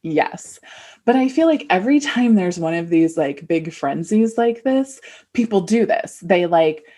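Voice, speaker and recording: A woman's voice: on the low side, animated, measured pace, mellow. A middle-aged American woman co-hosting a podcast, chatting casually and playfully, with plenty of "like" in her speech. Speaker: podcast co-host. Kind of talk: casual, reactive chat.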